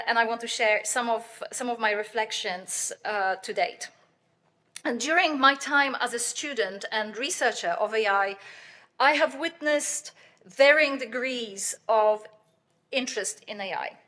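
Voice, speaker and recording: A middle-aged woman delivering a keynote with a loud, earnest voice. She pitches a little high and breaks off often with "um" and soft laughs. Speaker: keynote speaker, professor. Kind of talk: conference keynote lecture.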